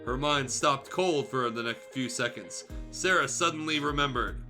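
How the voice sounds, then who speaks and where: A man's voice: mid-range voice, moderate pace, sharp tone, soft background music. A man reading fan fiction aloud as a streamer, in a theatrical, irreverent storytelling style with joking asides.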